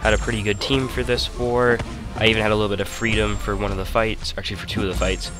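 A young man with a somewhat low voice, congested from a stuffy nose, talks through his game fights in an easy, rambling way, often correcting himself mid-sentence. Loud game music runs beneath his voice-over. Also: fast talker. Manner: relaxed, rambling, self-correcting. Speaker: gaming channel host. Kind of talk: casual gameplay commentary.

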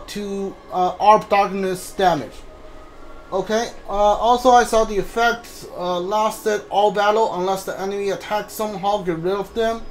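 High-voiced man, halting game commentary. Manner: halting, often repeats himself. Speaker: game streamer. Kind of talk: casual live playthrough commentary. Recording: steady background noise.

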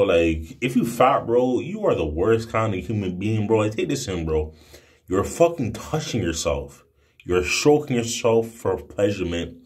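A man's voice: lowish voice, slightly rough. A man in his twenties talking casually to camera in a brash, emphatic, slangy way with heavy swearing. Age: 20s. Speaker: video blogger. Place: small room indoors. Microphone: phone at arm's length.

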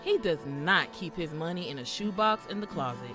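Woman acting sassy, low-voiced female thief. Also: expressive, normal speed, music in the background, natural voice. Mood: amused, eager. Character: sassy, sensible female thief, easily exasperated. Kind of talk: audio drama acting.

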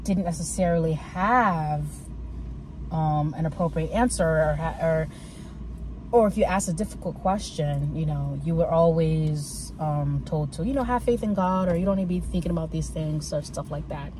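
A woman's voice: sits low, normal speed, a little mellow, poor audio. A woman in her twenties talking casually to camera from a parked car, reflective and full of fillers and restarts. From United States. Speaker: vlogger. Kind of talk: casual personal talk to camera. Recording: car interior with clear road noise.

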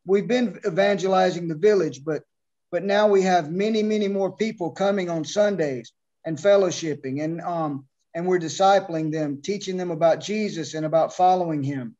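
A middle-aged man, a missionary, speaking devoutly and haltingly about his ministry in a video call interview. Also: sits high, dark tone. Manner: devout, hesitant speech with many restarts. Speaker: missionary, orphanage founder. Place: home room, beside his wife. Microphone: video call webcam. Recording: muffled lo-fi video call audio.

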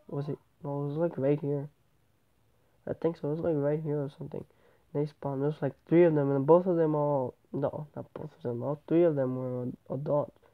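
Stuffy-nosed male gamer, tinny sound. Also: on the high side. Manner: rambling, often correcting himself. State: stuffy nose from a cold. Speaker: gameplay channel host. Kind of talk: casual game commentary. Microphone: tablet microphone. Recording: tinny, phone-like tablet recording.

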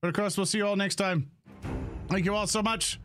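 A man's voice: high-pitched, steady tone, a little fast, a bit bright, soft background music. A man hosting as game master, narrating a live-play tabletop game and talking casually to viewers.

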